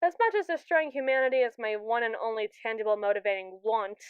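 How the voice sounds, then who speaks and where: Woman acting haughty evil robot, high clear voice. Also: quick pace, no echo, phone-quality sound, lightly acted. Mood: smug, reasoning. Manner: condescending, sarcastic, theatrical. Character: haughty, impatient evil robot, contemptuous of humans. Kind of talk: ASMR audio roleplay acting.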